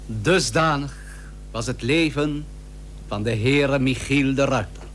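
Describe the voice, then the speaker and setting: Solemn male narrator for a vintage radio play, declaiming slowly in a raised-pitch, stately voice. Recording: old radio recording with faint hum.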